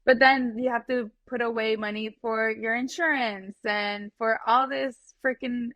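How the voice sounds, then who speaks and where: A woman's voice: a little high, fairly even intonation, a little slow, a bit bright, no room sound, lo-fi sound. A young woman from California with a Californian accent, a guest chef talking in a friendly, enthusiastic way, recorded on her phone. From San Fernando Valley, California. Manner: friendly, enthusiastic, says "like" and "you know" a lot. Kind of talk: casual chat.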